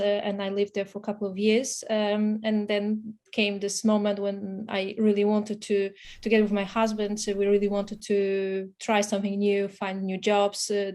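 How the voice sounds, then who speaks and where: A woman's voice: mid-range voice, a little mellow. A woman from Poland, a native Polish speaker with a Polish accent, telling her story casually as an interview guest. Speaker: podcast guest, startup investor.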